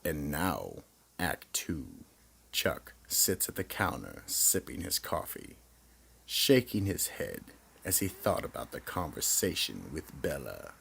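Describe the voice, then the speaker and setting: Very deep, gravelly male narrator. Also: fairly bright tone. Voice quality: gravelly, raspy. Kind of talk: scripted radio mystery narration.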